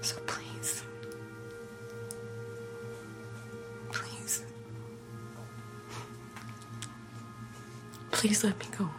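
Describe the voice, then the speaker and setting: A frightened captive in a screen drama. Her voice is on the low side, halting and pleading, with music behind it. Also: breathy edge, full character voice. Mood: tearful pleading.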